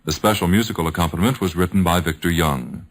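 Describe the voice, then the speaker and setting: A man with an American accent hosting a radio program, reading scripted narration in a solemn, formal, measured 1950s broadcast style. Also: deep voice.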